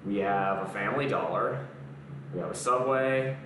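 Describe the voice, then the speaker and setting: Young man, low voice, casual live-stream chatter, roomy garage echo. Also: normal speed, recorded from a distance, background noise. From American South. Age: young adult. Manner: laid-back, chatty, answers viewer comments between exercises. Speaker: fitness streamer. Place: home garage gym. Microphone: camera mic at a distance.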